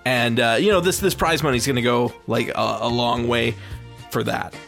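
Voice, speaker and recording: A man's voice: mid-range voice, steady tone, moderate pace, vocal fry, fairly bright tone, faint music behind. A man playing a meek, amiable slacker contestant in an audio drama, rambling hesitantly and mildly. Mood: hesitant, hopeful. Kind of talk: reality show confessional in audio drama.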